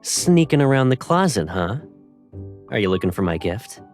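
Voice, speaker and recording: A young pilot love interest, soft intimate voice, confident and teasing, romance game style, music behind. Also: male voice, fairly low pitch, sing-song, moderate pace. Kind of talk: romantic game drama dialogue.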